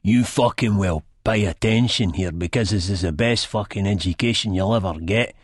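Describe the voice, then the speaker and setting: A man's voice: on the low side, normal speed, husky, no room sound, studio quality. A man playing a foul-mouthed young Scottish thug in an audiobook, with a snapping, bullying, sneering manner.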